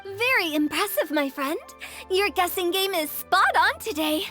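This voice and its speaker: A woman's voice: very high voice, expressive, a little slow, bright, forceful tone, soft background music, full character voice. A woman voicing a perky, cheeky teenage girl in a game dub, playful and confident. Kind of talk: English game dub voice acting.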